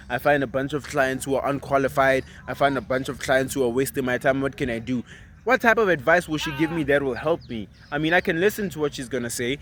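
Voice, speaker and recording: A young man from South Africa, a vlogger talking in an animated, emphatic and persuasive way in casual motivational chat. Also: fairly high pitch, a little hiss or hum. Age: 20s.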